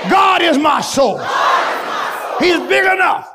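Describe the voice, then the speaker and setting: A man preaching a sermon to his congregation, shouting and chanting in a call-and-response style. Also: very high-pitched, very animated, slow. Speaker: pastor. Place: large church. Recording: crowd and noise behind, loud. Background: congregation crowd.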